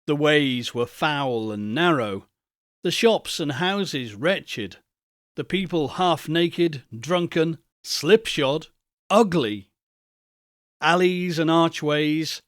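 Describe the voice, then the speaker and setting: A man reading a classic ghost story aloud as an audiobook, in a measured, expressive storytelling manner. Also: a little high, clean tone, no echo, clean studio sound. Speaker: audiobook narrator. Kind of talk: scripted narration of a classic novel.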